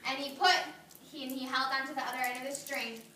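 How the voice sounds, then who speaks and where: A woman kindergarten teacher reading a story aloud to her class, animated, praising and asking the children questions. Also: high voice, fast talker, a little hiss or hum. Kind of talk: read-aloud to young children. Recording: echoey classroom, mic far off.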